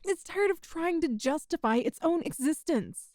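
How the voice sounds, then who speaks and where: A woman playing a kind, earnest human rescuer in an ASMR roleplay, speaking in a gentle, reassuring, folksy way to the listener. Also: high voice, lively intonation, moderate pace, no room sound, studio quality. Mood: tender, reassuring.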